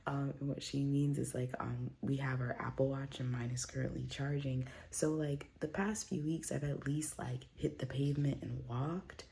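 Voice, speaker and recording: A woman vlogging at home into her phone with a very low, gravelly voice and a mellow, dark tone. She rambles casually with plenty of fillers and restarts, and she sounds a little congested from a recent cold. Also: steady tone, normal speed, hardly any pauses, slight background noise. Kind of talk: casual chatty vlog. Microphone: phone camera.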